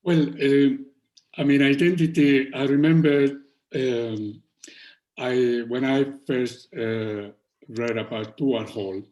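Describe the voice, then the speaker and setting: Man, slow deliberate speech, reflective, roomy echoey sound. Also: medium pitch, fairly even intonation, a little mellow. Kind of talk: conversational panel interview.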